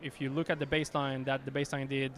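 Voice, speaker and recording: A man's voice: medium pitch, little pitch movement, quick pace, a bit bright. A man with an Israeli accent, an executive answering interview questions with many fillers and restarts. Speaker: company executive guest. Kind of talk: conference interview.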